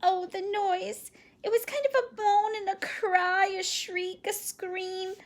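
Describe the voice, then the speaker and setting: A woman voicing a frightened, excitable little girl who shrieks and pleads near tears. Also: slow pace. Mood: pleading. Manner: shrill, breathless, near tears.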